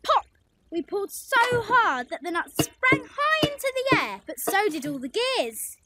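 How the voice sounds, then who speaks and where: A woman's voice: very high-pitched, very animated, a little slow, background noise. A woman reading a scripted children's story expressively, addressing young listeners, with music behind her. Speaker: storybook narrator. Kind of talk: animated children's scripted narration.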